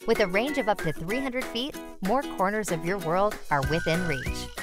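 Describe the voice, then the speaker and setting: A woman's voice: medium pitch, lively intonation, moderate pace, over music. A woman voiceover artist reading scripted promo and product commercial narration.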